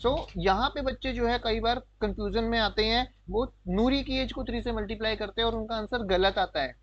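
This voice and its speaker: A man's voice: extremely high pitch, brisk, fairly bright tone, no echo, highs cut off. A man teaching maths, patiently explaining a problem step by step, repeating key points and asking checking questions, with English terms mixed in. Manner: mixes English terms into Hindi. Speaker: maths tutor.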